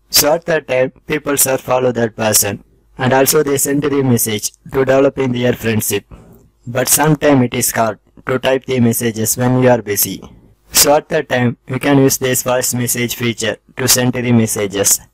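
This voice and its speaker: A man's voice: mid-range voice, dry recording, poor audio. A man hosting a tech tutorial, narrating scripted step-by-step instructions in a formulaic, instructional way.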